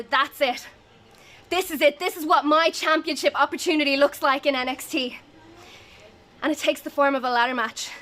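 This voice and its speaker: A high-pitched woman cutting a wrestling promo. She speaks in an intense, declamatory way and sounds defiant. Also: sharp tone. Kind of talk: dramatic wrestling promo clip.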